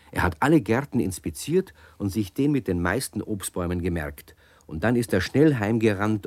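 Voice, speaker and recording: A deep male narrator reads a children's radio story and speaks straight to the kids as he goes. His voice sits low and close in a dry studio. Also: no echo. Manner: warm, addresses the children directly.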